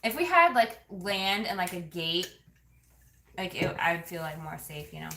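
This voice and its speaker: A woman's voice: on the low side, big pitch swings, normal speed, sharp tone. A woman co-hosting a casual family livestream, talking informally and a little hesitantly. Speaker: family vlog co-host. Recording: roomy echo with faint noise.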